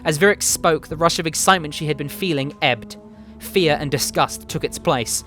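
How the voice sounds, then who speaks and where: Male narrator for a dramatic fantasy reading, slightly high voice, deliberate delivery, music underneath. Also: sharp tone. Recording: music behind the voice. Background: background music.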